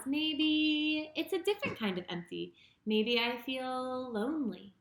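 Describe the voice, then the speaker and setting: A woman reading a children's story in an expressive, deliberate way, speaking directly to her young listeners. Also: on the high side. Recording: roomy, echoing sound.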